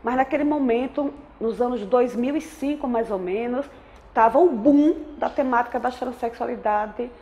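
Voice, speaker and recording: A woman's voice: fairly high pitch, moderate pace, mellow. A woman in her forties from Aracaju with a Sergipe accent, an anthropologist telling her life story in a thoughtful, reflective way and often adding tag questions. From Aracaju, Sergipe, Brazil. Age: 40s. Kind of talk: reflective personal testimony.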